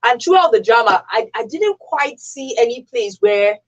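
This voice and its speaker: Nigerian lady giving opinions on a radio talk show, bit husky, emphatic, muffled lo-fi sound. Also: on the high side, lively intonation, normal speed. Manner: emphatic, repeats herself, poses rhetorical questions. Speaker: radio discussion panelist. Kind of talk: opinionated conversational discussion.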